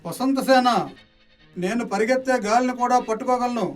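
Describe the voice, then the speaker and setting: An actor playing a swaggering, smooth-talking companion of a villain in a radio play, mocking and flowery. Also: male voice, very high voice, moderate pace, recorded from a distance, soft background music. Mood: taunting. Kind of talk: radio play acting in Telugu.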